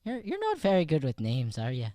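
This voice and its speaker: A man's voice: a little high, huge pitch swings, fairly quick, dark tone, no room sound, studio quality. An actor playing an earnest, cautious Irish boy who stammers and repeats himself in an improvised radio comedy. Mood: needling.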